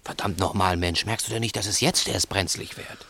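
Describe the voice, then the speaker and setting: A low, gravelly male crook in a 1960s radio play. He is cunning and menacing, pressing his point with insistent, theatrical questions and talking down to his accomplice. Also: fairly even intonation, moderate pace, bright, forceful tone, exaggerated voice. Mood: commanding, tense.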